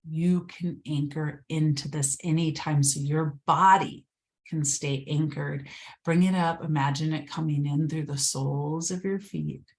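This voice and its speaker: A woman leading a guided meditation, speaking slowly and soothingly, sometimes dropping to a whisper. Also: deep voice, steady tone, gravelly, darkish tone. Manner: slow, soothing, drifts into whispers.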